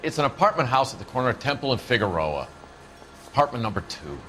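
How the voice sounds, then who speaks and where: An actor playing an evasive, defiant lowlife crime suspect in a video game, defensive and slangy. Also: male voice, big pitch swings, fast, a bit bright. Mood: defiant, grudging. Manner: defensive, slangy, hesitant when cornered. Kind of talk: dramatic video game acting.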